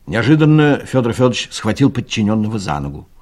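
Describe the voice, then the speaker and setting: Male storyteller for comic audio drama: raspy, lowish voice, highly animated scripted delivery. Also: fast. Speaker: narrator.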